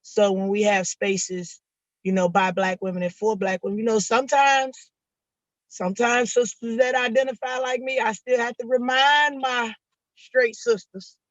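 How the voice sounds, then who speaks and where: A woman's voice: fairly high pitch, steady tone, normal speed, no room sound. A woman speaks earnestly on a panel, circling back over her words and leaning on "you know." Her voice holds to a narrow, level line. The call audio comes through dull and lo-fi, with no room sound around it. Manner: earnest, repeats phrases, many "you know" fillers. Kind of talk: personal testimony on a panel.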